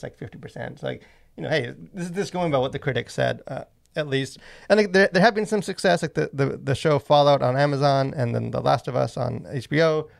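Gravelly man, casual podcast host. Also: mid-range voice. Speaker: podcast host and movie reviewer. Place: small podcast studio. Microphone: close boom-arm studio mic.

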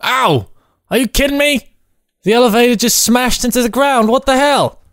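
A man casually commentating on a game he is playing, excitable and given to exclamations. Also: very high voice, moderate pace, dry recording, studio quality. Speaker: gamer playing a co-op roleplay map.

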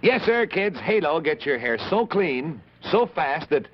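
A man's voice: on the high side, unhurried, lo-fi sound, a little hiss or hum. A man in his thirties presenting a 1950s children's television show, upbeat and speaking directly to kids. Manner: animated, addresses children directly.

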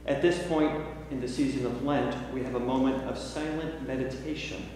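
Older male priest, measured liturgical delivery, very echoey sanctuary with a faint hum. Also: mid-range voice, fairly even intonation, darkish tone. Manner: measured, solemn recitation. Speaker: priest leading worship. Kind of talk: liturgy and prayers. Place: large church sanctuary, indoors. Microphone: far camera. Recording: echoey church from far off.

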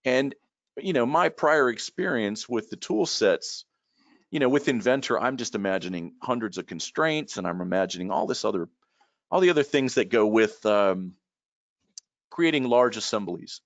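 A middle-aged man, a trainer telling stories in a relaxed, rambling way, repeating words and using many fillers, heard over a video call from a room at home. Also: mid-range voice, normal speed, dry recording. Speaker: guest expert and trainer. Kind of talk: casual storytelling in a video call. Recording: dull lo-fi call audio.

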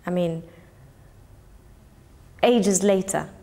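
A woman's voice: on the low side, drawn-out words, gravelly, dark tone. A woman in her thirties, a poet from Kurdistan whose first language is Kurdish, speaking thoughtfully in a documentary interview on a lapel mic. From Kurdistan, northern Iraq. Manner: thoughtful, searching for words, gestures with hands. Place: indoors, in front of a purple wall.

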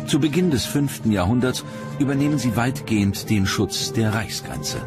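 A man reading scripted documentary narration in a measured, dramatic storytelling manner. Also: mid-range voice, animated. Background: music under the narration.

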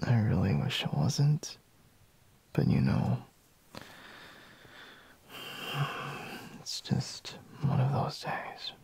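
A man playing a sleepy, reluctant, teasing boyfriend in an intimate ASMR roleplay, murmuring drowsily in a breathy half-whisper. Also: mid-range voice, very animated, a little mellow, exaggerated voice. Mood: drowsy, reluctant. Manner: slow, drowsy murmur with sighs and pauses.

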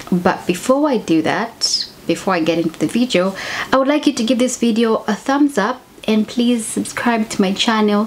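A woman's voice: mid-range voice, slight background noise. A young woman from Zambia, talking to camera in a casual vlog, chatty and often correcting herself mid-sentence. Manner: chatty, self-correcting, conversational. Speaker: YouTuber. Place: home room with bookshelves. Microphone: camera at desk distance.

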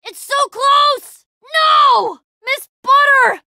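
A woman's voice: very high voice, drawn-out words, pushed and bright, dry recording, clean studio sound, heavily acted. A woman playing a plucky, proud young female adventurer in a video game, with a casual, slangy, sardonic delivery. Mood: urgent.

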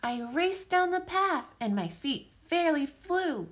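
A woman reading a picture book aloud for young children with expressive, deliberate phrasing. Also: sits high. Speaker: children's story reader, teacher.

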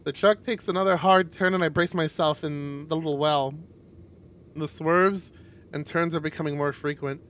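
A man reading a visual novel aloud on a stream in an expressive narrating style. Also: a little high, normal speed, slight background noise.

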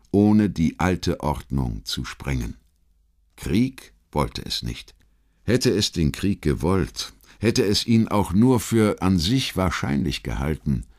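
A man reading a scripted historical documentary audiobook in a measured, emphatic literary manner. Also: low-pitched. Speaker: audiobook narrator.

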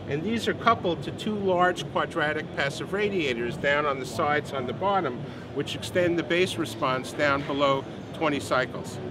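Higher-pitched older man, noisy show floor. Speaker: company president, product designer being interviewed. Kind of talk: unscripted product explanation in an interview. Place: busy indoor exhibition booth.

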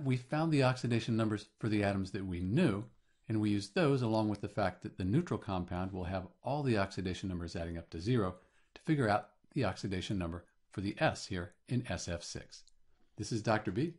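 A man speaks as a teacher narrating a tutorial. His voice sits on the low side and has a clearly husky, unpolished texture. He explains things plainly, one step after another. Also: a little mellow. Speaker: chemistry teacher.

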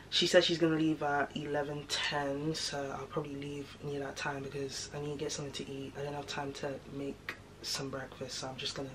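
Chatty low-voiced young woman vlogging. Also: background noise. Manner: chatty, rambling, lots of fillers. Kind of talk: casual vlog chat to camera.

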